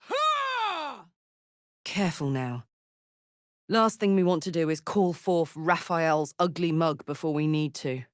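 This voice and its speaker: Fantasy game heroine: hell-escaped warrior, rough-edged and blunt but big-hearted, measured pace, dry studio sound. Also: female voice, lowish voice, very animated, dry recording. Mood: urgent battle shouting, then low-key, careful. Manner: blunt, earthy, sweary. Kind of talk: video game character voice acting.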